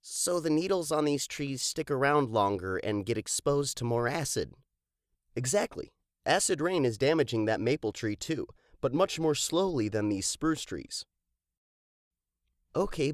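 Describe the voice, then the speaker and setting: A woman voicing a curious, practical young explorer in an expressive children's storybook read-aloud. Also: low-pitched, moderate pace, dry recording, clean studio sound, voice pushed down. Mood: curious, earnest. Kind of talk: dramatized children's storybook dialogue.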